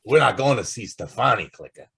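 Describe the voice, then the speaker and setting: Man playing a husky, animated newspaper reporter. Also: medium pitch, brisk, full character voice. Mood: brisk, determined. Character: determined, wisecracking star newspaper reporter, male. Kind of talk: old time radio drama acting.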